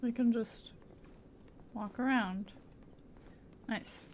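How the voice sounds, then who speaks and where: A woman's voice: fairly high pitch, steady tone, very slow, a little hiss or hum, poor audio. A woman giving casual gameplay commentary, muttering to herself as she plays a video game. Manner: mutters to herself while playing. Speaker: streamer playing a video game.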